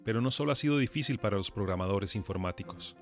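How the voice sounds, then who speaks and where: A man's voice: lowish voice, lively intonation, quick pace. A man delivering scripted documentary narration as the presenter. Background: faint music.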